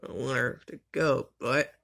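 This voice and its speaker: A woman's voice: extremely low pitch, normal speed, gravelly, fairly bright tone, heavily acted. A woman voicing a loyal, grumbling boy companion in an amateur anime fandub, cautioning and reluctant.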